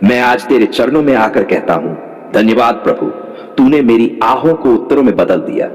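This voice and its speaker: A man's voice: mid-range voice, lively intonation, normal speed, a little mellow. Man praying fervently in long reverb. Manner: fervent, rhythmic repeated declarations. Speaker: prayer leader. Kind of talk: scripted devotional prayer.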